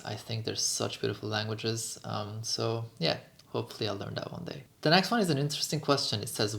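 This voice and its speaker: A man from Lebanon, a native Armenian speaker, answering questions in a casual, earnest, measured vlog style as an activist host. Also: on the low side, vocal fry, a bit bright. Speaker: vegan activist and video host.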